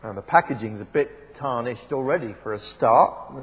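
A man gives a wry, conversational sermon, full of ums and self-corrections. His voice is a little husky, and it carries through the long reverb of a church PA system on a dull, lo-fi recording. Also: medium pitch, lively intonation, normal speed. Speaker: preacher. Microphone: PA microphone.

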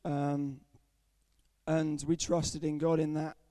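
A young man with a croaky, under-the-weather voice giving an earnest personal testimony at a church service, with frequent ums. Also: fairly high pitch, monotone, slow, mellow, no echo. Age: young adult. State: hoarse, croaky voice from illness. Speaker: student speaker at a church service.

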